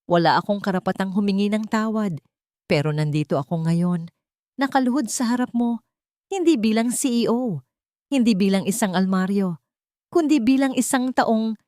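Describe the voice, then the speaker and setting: A woman with a Filipino accent voicing a proud male CEO, now humbled, pleading haltingly in a dramatic story. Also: mid-range voice, darkish tone, no echo, clean studio sound, voice pushed up, natural voice. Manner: halting, repeating phrases in pleading.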